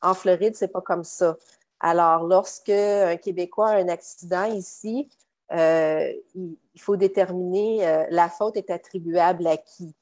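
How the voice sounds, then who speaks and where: Measured woman, lowish voice. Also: steady tone, a little mellow. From Quebec. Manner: measured, explanatory, many fillers. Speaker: guest lawyer. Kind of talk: expert explanation in a podcast interview.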